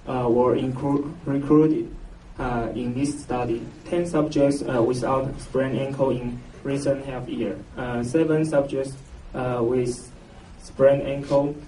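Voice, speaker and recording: A man from Taiwan with a Taiwanese accent gives a scientific conference presentation, halting and hesitant, with very frequent filled pauses. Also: mid-range voice, fairly even intonation, mellow. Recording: roomy, noisy, distant mic, muffled echo.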